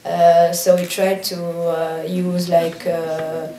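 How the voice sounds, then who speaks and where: A woman's voice: lowish voice, completely flat delivery, slow, noisy background. A young woman with a Tunisian accent answering audience questions at a cinema Q&A, hesitant and searching for her English words, often laughing. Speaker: lead actress at a film Q&A. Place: cinema auditorium. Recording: echoey hall from a distant mic.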